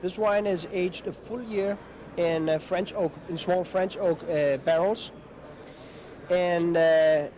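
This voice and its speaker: A man's voice: high voice, fairly even intonation, slow, darkish tone. A man representing a winery, explaining its wines in an informative way with many hesitations. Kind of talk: product explanation.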